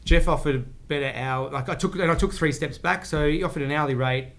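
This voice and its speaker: A man's voice: on the high side, fairly bright tone. An Australian man in his forties, a chef telling his career story as a podcast guest, rambling with frequent restarts. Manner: rambling, restarts often, speaks in quick bursts. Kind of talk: casual storytelling interview.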